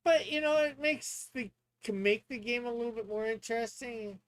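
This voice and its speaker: A man in casual stream talk, sometimes reading rules aloud, hesitant and halting as he thinks out loud. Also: extremely high pitch, dry recording. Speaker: streamer and tabletop player.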